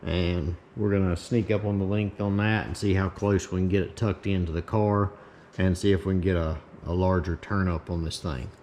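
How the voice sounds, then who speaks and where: A man with a fairly low voice hosts a casual shop video. He explains things in plain, folksy words, like a car builder thinking aloud. A steady hum of noise sits clearly behind him. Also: normal speed. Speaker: host, race car builder.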